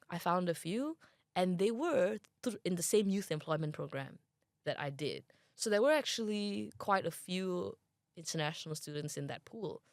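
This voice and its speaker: Creaky-voiced young Malaysian woman. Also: deep voice, no room sound, studio quality. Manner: chatty, many fillers, thinks aloud. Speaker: interview guest. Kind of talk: casual interview.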